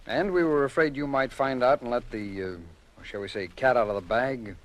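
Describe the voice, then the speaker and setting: A man acting a measured, courteous American federal agent in a 1950s radio drama, speaking formally with deliberate, discreet pauses. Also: mid-range voice, close to an everyday voice, low-quality recording. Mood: calm, explanatory. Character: measured, courteous male federal agent explaining a secret operation.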